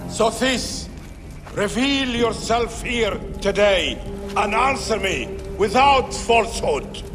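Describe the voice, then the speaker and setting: A solemn Egyptian seer in a fantasy game, chanting ceremonially. The voice is high for a man and very slow, ringing in a long reverb with music behind it. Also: animated. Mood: commanding, ritual. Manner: ceremonial, declamatory. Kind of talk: incantatory game cutscene acting.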